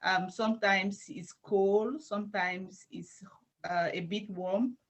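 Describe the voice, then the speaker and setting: A woman with a Cameroonian accent answering interview questions as a church intern, in formal, halting, measured phrases. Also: mid-range voice, fairly even intonation.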